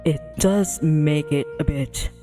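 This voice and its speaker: Woman as soothing male tiger for a visual novel: lowered pitch, unhurried, reassuring. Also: dark tone, soft background music. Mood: gentle, reassuring. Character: big gentle male tiger, soothing and inviting.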